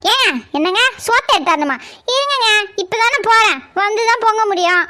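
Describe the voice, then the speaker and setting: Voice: very high voice, expressive, brisk, sharp tone, heavily acted. A performer voicing a bossy, harried mother who nags and coaxes, in a comedy skit. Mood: exasperated. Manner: nagging, coaxing, protesting. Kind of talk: family comedy skit acting.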